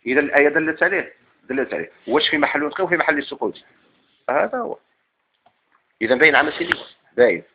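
A man's voice: on the high side, dull audio. A man with a Moroccan Arabic accent teaching a class on legal theory, quizzing students with repeated questions and then answering them himself. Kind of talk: classroom lecture.

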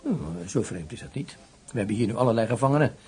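An actor in a radio drama playing a confident military camp commandant, brisk and officious, with a husky voice. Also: male voice, medium pitch, a little mellow. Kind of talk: radio play acting.

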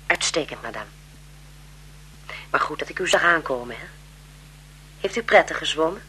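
An actress playing a polite, gossipy governess who eagerly serves a marquise, in a 1970s Dutch radio drama. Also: female voice, low voice, expressive, moderate pace, bright, forceful tone, a little hiss or hum, rough recording. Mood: polite, chatty. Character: deferential, chatty, gossipy governess to a marquise's children.

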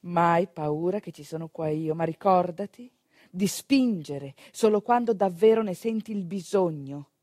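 A woman acts out a village midwife in a theatre monologue. She keeps her voice low and calm, repeating simple instructions with patient reassurance. The sound is dry and intimate. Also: dry recording. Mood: calm, reassuring. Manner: low-voiced, clear, slow and repetitive. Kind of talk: stage monologue acting.

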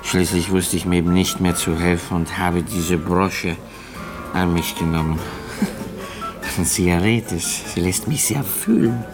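Casting: washed-up former student for radio drama, bitter and rambling, deep-ish slow delivery over music. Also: male voice. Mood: bitter, self-pitying. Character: bitter, self-pitying failed medical student, down and out. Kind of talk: German radio play acting.

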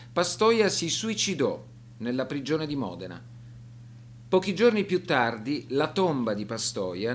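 A man narrating a scripted documentary radio drama in a formal, deliberate manner. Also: a little high, slight background noise.

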